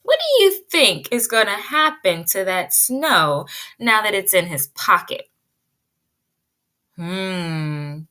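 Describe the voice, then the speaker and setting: A woman pre-K teacher giving an expressive read-aloud lesson, drawing out key words and putting guiding questions to young listeners. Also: mid-range voice. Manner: drawn-out emphasis on key words.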